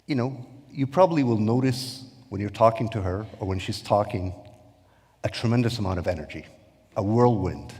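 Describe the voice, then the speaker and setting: Male event host, lowish pitch, informal and conversational introduction style, reverberant hall sound. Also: darkish tone. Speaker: event host, adult education lecturer. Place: indoor conference room with audience.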